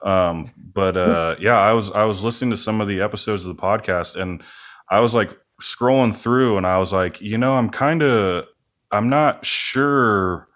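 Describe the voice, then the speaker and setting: Low-voiced man chatting casually. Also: normal speed, a bit bright, lo-fi sound. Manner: rambling, hedging, self-effacing. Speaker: podcast guest, band musician and studio owner. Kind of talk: casual interview chat.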